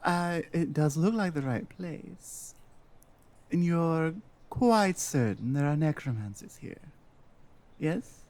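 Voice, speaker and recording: A man's voice: on the high side, animated, slow pace, darkish tone. A man playing an earnest, self-doubting Nordic guide and adventuring companion in a roleplay audio drama, musing hesitantly. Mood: cautious, deferential.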